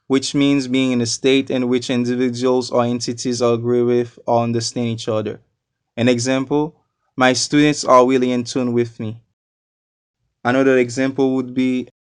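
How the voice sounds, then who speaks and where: A man from Haiti, a non-native English speaker, giving a scripted English lesson with deliberate, explanatory enunciation. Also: medium pitch, clear, resonant tone, no echo, studio quality. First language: not a native English speaker. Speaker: English teacher. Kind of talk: scripted educational narration.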